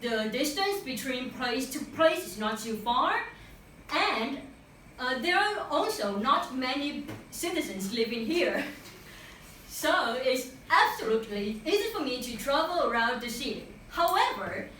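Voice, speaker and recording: Young woman, Vietnamese accent, class presentation, high lively voice, far off in a very echoey room. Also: a little hiss or hum. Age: young adult. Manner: earnest, with pauses and restarts. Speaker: student presenter.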